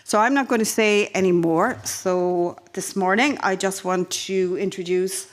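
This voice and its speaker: A woman with an Irish accent gives introductory remarks as a conference moderator, speaking in a measured, reflective way with pauses mid-phrase. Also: medium pitch, fairly even intonation. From Ireland. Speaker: panel moderator. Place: conference session.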